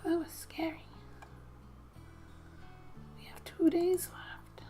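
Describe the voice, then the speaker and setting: A woman with a very high, soft voice and a mellow, warm tone. She reads game story text in a gentle ASMR style, breathy and often whispered, with casual little asides. Faint music plays behind her. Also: flat delivery, takes it slowly. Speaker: gaming ASMR creator. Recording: quiet background music.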